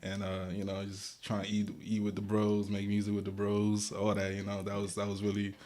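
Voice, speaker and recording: A man's voice: fairly low pitch, monotone, brisk, darkish tone. A young man from Guatemala, talking casually as an interview guest, with loose slangy speech full of restarts and fillers. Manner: laid-back slangy talk, many restarts and fillers. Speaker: guest rapper.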